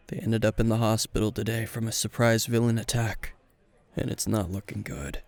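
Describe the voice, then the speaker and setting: A man playing a weary, gruff veteran hero and teacher at a bar, terse and dry, in an intimate roleplay spoken to the listener. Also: lowish voice, steady tone, moderate pace, darkish tone, lightly acted. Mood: downcast, brooding. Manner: terse, guarded, dry, sighing.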